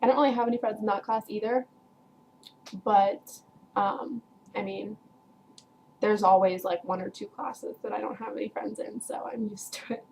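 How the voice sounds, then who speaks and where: A woman's voice: mid-range voice, steady tone, normal speed, creaky, long pauses, dark tone, a little hiss or hum, poor audio. Chatty teenage girl rambling a story. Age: teens. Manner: chatty, rambling, heavy use of "like" and "um"; frequent laughs while telling. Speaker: vlogger. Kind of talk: casual storytelling to camera.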